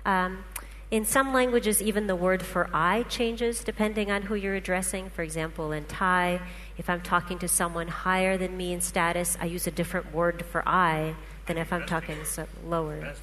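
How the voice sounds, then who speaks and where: A woman's voice: on the low side, steady tone, normal speed, hardly any pauses, a bit bright. A woman, a native Russian speaker, explaining patiently with examples in a talk to a panel. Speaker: speaker presenting research. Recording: hall reverb, faint hum.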